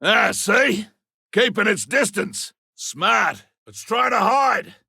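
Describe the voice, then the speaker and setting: A man playing a boastful, grizzled veteran monster hunter in a video game, with an Australian accent and a rough, gravelly voice, making forceful short calls. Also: high voice, expressive, slow pace, no echo, studio quality, full character voice. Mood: gruff, focused, then keen, excited. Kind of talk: video game combat and tracking barks.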